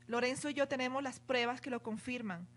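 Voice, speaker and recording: Woman doing a distraught falsely accused lady in a radio play, high voice, anguished and pleading. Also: steady tone, a little fast, fairly bright tone, natural voice. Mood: desperate. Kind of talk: radio drama acting.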